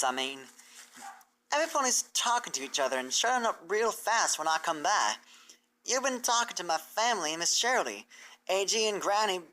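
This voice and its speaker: Young country filly played by a woman: blunt, wary, folksy, with a bright, lively storybook voice. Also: fairly low pitch, voice pushed up. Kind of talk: fan fiction character reading.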